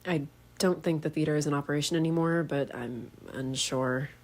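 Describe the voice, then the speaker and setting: A woman's voice: deep voice, steady tone, normal speed, darkish tone, natural voice. A woman playing a guarded young ex-girlfriend in a found-footage horror film, acted naturalistically. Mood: guarded, matter-of-fact.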